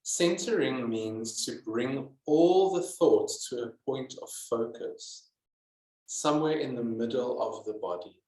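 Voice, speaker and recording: A man teaching yoga and guiding meditation in a calm, deliberate manner with many pauses. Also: medium pitch. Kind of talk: guided yoga and meditation instruction. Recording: echoey, roomy sound.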